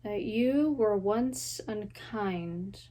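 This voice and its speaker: A woman giving a dramatic recitation of classical verse, deliberate and full of long pauses. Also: medium pitch, warm tone. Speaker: student drama performer.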